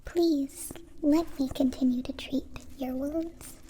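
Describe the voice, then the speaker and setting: A woman voicing a gentle female alien in an ASMR roleplay, whispering softly and breathily with stilted, formal, precise phrasing. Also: high voice, fairly even intonation, slow, slight background noise. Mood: calm, caring. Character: gentle captive-born female alien caring for a wounded human.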